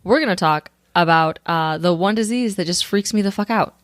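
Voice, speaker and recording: Teasing woman with a low voice. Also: normal speed, no echo. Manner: teasing, laughs often. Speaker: podcast co-host. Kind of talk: casual banter.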